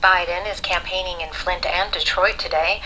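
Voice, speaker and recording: A woman's voice: fairly low pitch, steady tone, normal speed, bright, forceful tone, a little hiss or hum, low-quality recording. A woman reading scripted news as an anchor, heard secondhand through a radio speaker.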